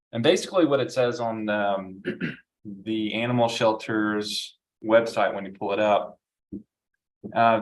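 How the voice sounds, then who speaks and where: A man chairing a city advisory council meeting, brisk, procedural and polite. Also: on the low side, rough recording.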